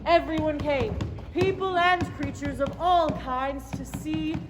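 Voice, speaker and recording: A woman playing a stately mythic storyteller on stage, with declamatory, deliberate delivery. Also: high-pitched. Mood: stately narrating. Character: stately mythic storyteller commanding winds and heroes. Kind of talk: theatrical stage narration. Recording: echoing auditorium, music and crowd behind.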